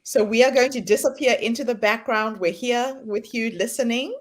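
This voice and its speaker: A woman's voice: fairly high pitch, moderate pace. Woman hosting online summit, effusive intros, gushing welcome. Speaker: summit host. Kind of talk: introducing a speaker.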